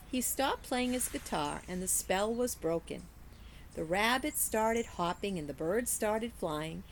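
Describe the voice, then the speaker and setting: A woman narrates a children's story with care and expression. Her pace is slow and her pitch moves widely, with creak in the voice. Faint noise sits in the background. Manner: deliberate, careful storytelling for children. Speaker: teacher reading aloud.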